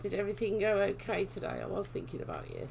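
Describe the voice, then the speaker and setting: A British woman chatting casually as she livestreams a game, unhurried and half-absorbed, her voice slightly going. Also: mid-range voice, huge pitch swings, creaky, a little mellow, a little hiss or hum. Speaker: livestream host. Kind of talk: casual livestream chat while gaming. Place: indoor room at home.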